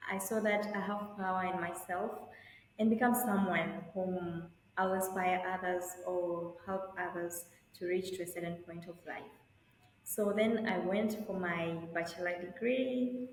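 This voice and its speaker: A young woman from Tanzania, an attorney telling her life story in an interview over a video call in a measured, earnest way. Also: fairly low pitch, fairly even intonation, echoey room, big-room sound, slight background noise, low-quality recording. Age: young adult. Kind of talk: conversational interview answers.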